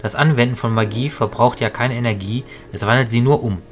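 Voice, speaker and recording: A man plays a knowledgeable mentor mage in a fantasy audio drama. He has a mid-pitched voice and explains things calmly and with measure. Music plays behind him. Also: brisk, lightly acted. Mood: explaining. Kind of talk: hobby audio drama storytelling.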